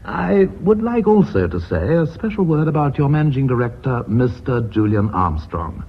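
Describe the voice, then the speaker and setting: An actor playing a genial, pompous company chairman with a British accent, formal and measured, in a radio drama. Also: medium pitch, big pitch swings. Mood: formal, approving. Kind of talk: BBC radio drama acting. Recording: noisy, dull old radio recording.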